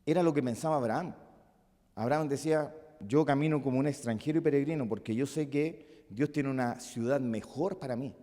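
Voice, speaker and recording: A male pastor with a Chilean accent preaching a sermon, moving between loud emphatic peaks and soft, musing asides. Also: medium pitch, brisk. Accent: Chilean Spanish. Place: church hall. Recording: long reverb of a church hall.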